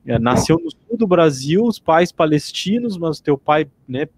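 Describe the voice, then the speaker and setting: A man's voice: on the high side, very animated, a little slow, slight background noise. A man hosting a casual podcast interview, feeling his way through rambling, tentative questions. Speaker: podcast host.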